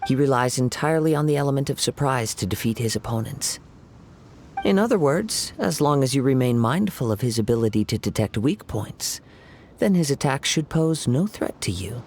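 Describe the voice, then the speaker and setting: Cast: man playing a polite, measured young swordsman, mid-pitched, formal speech, for a fantasy game. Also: lively intonation, darkish tone, a little hiss or hum, close to an everyday voice. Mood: calm. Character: courteous, scholarly young swordsman, male. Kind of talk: game voice acting.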